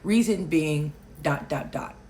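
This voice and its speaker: A woman from Alaska, raised in Hawaii, hosting political commentary, talking loudly and emphatically and answering viewer comments. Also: sits low, takes it slowly, a little hiss or hum. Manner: loud, emphatic, rising to near shouting on key points.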